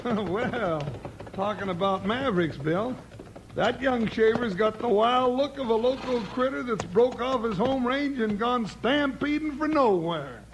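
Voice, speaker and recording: A jolly cowboy sidekick with an extremely high voice and a folksy, colorful way of talking. It is old radio western drama, with clear noise on the recording. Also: male voice, expressive, measured pace, heavily acted. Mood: amused, folksy. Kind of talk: 1950s radio western acting.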